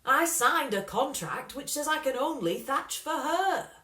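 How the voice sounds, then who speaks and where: Woman as earnest working-man father, glum, plaintive, slow, storybook reading, roomy sound. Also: sits high, animated, sharp tone, voice pushed up, heavily acted. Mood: resigned.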